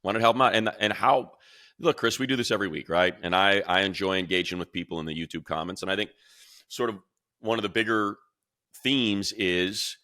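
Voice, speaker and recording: Deep-voiced, forceful sports radio man. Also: brisk, no echo, studio quality. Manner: energetic, rambling, mimics fans in a high voice. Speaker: radio play-by-play announcer co-hosting. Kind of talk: animated sports talk.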